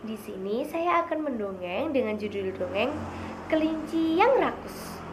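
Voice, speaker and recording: A young woman telling a children's fable in an expressive storytelling style. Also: fairly high pitch, measured pace, noisy background.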